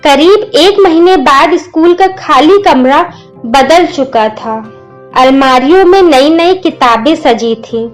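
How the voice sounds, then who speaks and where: A woman's voice: high-pitched, animated, takes it slowly. A woman narrating a moral story in a scripted storytelling style. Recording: music under the voice. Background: instrumental music.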